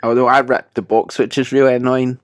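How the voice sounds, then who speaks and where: A man hosting a podcast with a cold that makes his voice croaky, chatting casually and laughing at his own slips. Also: medium pitch, flat delivery, fairly quick, no echo, clean studio sound. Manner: chatty, self-mocking, laughs often. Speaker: podcast host. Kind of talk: casual chat.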